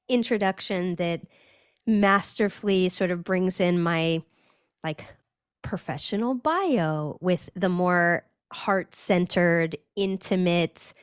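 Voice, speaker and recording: A woman's voice: fairly low pitch, clear, resonant tone, no echo, clean studio sound. A woman from Northern California, a brand strategist talking as a podcast guest, thoughtful and searching, with frequent restarts. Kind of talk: reflective conversational interview.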